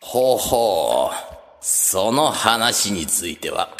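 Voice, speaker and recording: Man voicing an echoing, slow old wizard master. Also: fairly low pitch, big pitch swings, a bit bright, exaggerated voice. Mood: musing. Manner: unhurried, archaic elderly phrasing. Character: calm, kindly old wizard master, archaic elder speech. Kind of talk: fantasy audio drama acting. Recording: long hall reverb.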